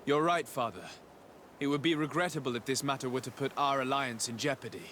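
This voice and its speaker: A composed young emissary from a fantasy game played by a man. He speaks politely and formally in an average male register, dutiful and measured. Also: mid-range voice, a bit bright, slight background noise, close to an everyday voice. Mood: polite, diplomatic. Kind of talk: video game cutscene acting.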